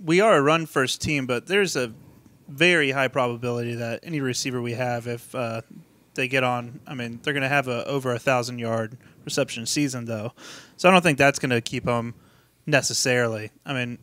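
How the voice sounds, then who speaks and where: A man's voice: medium pitch, steady tone, brisk, pushed and bright. A man co-hosting a casual sports podcast, speaking hesitantly with many fillers. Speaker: podcast co-host.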